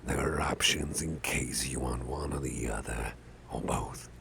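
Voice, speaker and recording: A man playing a big, gruff but caring boss in an ASMR roleplay, murmuring gently with a gravelly, often breathy voice and soft hesitations. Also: a bass voice, fairly even intonation, a little slow, background noise, exaggerated voice. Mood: friendly, musing. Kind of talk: ASMR personal-attention roleplay.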